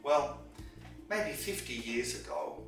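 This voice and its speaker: A man's voice: medium pitch, wildly swooping pitch. Emphatic teacher man in an echoey room. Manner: emphatic, repeats words for stress, slows down on key phrases. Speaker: English teacher. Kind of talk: lesson to camera. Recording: very echoey room, distant mic, with music.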